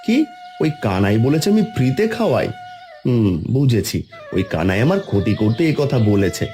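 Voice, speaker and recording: A man's voice: medium pitch, sing-song, normal speed, warm tone, faint music behind, lightly acted. A man voicing a kindly, worried small eatery owner in a cartoon. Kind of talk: cartoon voice acting.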